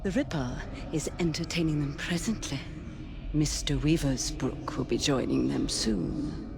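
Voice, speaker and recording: An actress playing a haughty, fatalistic Victorian madam with a measured, formal delivery. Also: female voice, low-pitched, gravelly, music in the background. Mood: cool, resigned.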